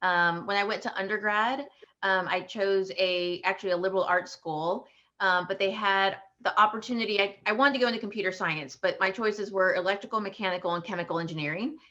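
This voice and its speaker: A woman's voice: medium pitch, fairly even intonation, fairly quick, pushed and bright, highs cut off. A woman from Texas in her forties, telling her career story to students with many ums and restarts. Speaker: panelist, patent attorney.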